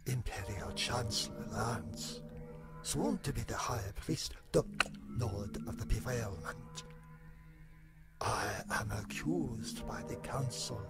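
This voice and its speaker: A man's voice: medium pitch, takes it slowly, over music, close to an everyday voice. A man voicing a vain, foul-tempered alien imperial chancellor in a campy sci-fi comedy audio drama, pompous and explosive in his delivery. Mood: pompous menace. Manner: pompous, grandiose, theatrical, explosive.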